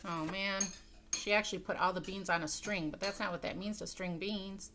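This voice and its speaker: Bright-voiced middle-aged woman reading storybooks. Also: medium pitch, steady tone, moderate pace. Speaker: story time reader. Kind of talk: reading a children's storybook aloud with casual asides. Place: room at home by a window. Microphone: webcam at desk distance. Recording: webcam sound with faint noise, clicks.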